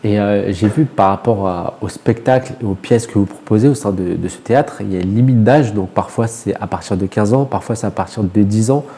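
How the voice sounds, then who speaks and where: A man's voice: lowish voice, fairly quick, few pauses, warm tone. A man interviewing a guest on the radio, putting questions to them.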